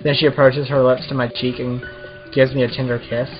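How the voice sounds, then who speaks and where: A man's voice: medium pitch, little pitch movement, a little fast, muffled recording, soft background music. A man doing a casual let's play, reading visual novel text aloud and commenting as the host of a gaming video.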